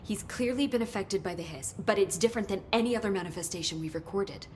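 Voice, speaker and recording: A woman's voice: medium pitch, a little mellow, slight background noise, natural voice. Woman acting an earnest, analytical scientist. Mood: thoughtful, concerned. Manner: thoughtful, measured speculation. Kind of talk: video game cutscene acting.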